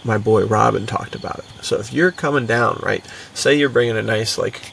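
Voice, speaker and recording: A man's voice: fairly low pitch, brisk, vocal fry, fairly bright tone, distant mic, a little hiss or hum. A young man co-hosting a podcast in casual, enthusiastic chat, with a slangy, buddy-like manner. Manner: slangy, buddy-like, frequently chuckling. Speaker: podcast co-host.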